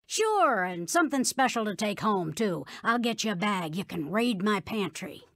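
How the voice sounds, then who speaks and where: A kindly older aunt in an audio drama. She speaks at a middle pitch, reassuring and playful, with gentle encouragement. The sound is dry, with no room on it. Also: sing-song, normal speed, dry recording.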